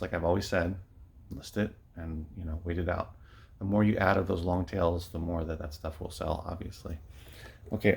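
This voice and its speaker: Man, deep creaky voice, casual rambling vlog delivery, low-key, reseller showing items. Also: a little mellow. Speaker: online book and media reseller sharing recent sales. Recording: noticeable background noise, clicks.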